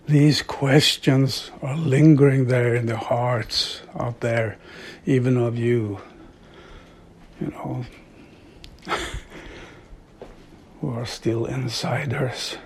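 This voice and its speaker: A middle-aged man from Sweden, an author and devotee, talking reflectively in a slow, halting way with frequent soft laughs. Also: mid-range voice, fairly even intonation, husky. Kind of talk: reflective monologue.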